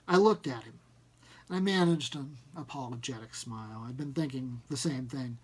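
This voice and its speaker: A man narrates a first-person tale in a mid-pitched voice with some huskiness. His reading is expressive and measured, like an audiobook storyteller. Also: husky.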